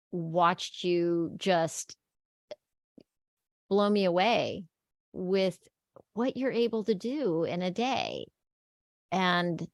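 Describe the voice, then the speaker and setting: A woman's voice: on the low side, gravelly, no echo, studio quality. A woman in her fifties from Texas hosting a casual video call chat, playful and chatty. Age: 50s. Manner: playful, chatty, laughs often. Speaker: podcast host.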